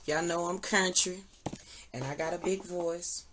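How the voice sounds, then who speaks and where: A woman's voice: lowish voice, fairly even intonation, a little slow. A woman chatting casually and candidly to her viewers, slangy and emphatic, repeating phrases for effect. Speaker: online tarot and energy reader, channel host. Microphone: phone or similar device propped nearby. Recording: noisy recording with clicks.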